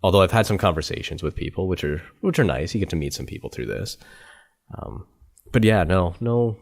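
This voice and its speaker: A man hosting a podcast interview, relaxed and self-deprecating, hesitating with fillers. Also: low-pitched, a little fast. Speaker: podcast host. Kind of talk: casual interview chat.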